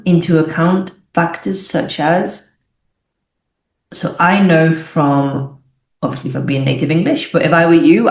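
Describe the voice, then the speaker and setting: A woman's voice: low voice, a little mellow. A young adult English teacher, a woman from the UK, explaining exam questions as she thinks aloud and corrects herself. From United Kingdom. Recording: echoey room.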